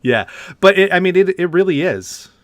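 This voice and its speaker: A man's voice: high voice, sing-song, moderate pace, dry recording. A man co-hosting a podcast, chatting casually and enthusiastically about video games in an informal way. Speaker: podcast co-host. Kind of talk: casual video game discussion.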